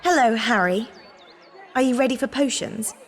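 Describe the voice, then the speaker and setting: A woman's voice: on the high side, moderate pace, natural voice. A woman playing an earnest, studious teenage girl in a video game, careful and articulate, with long reverb on the voice.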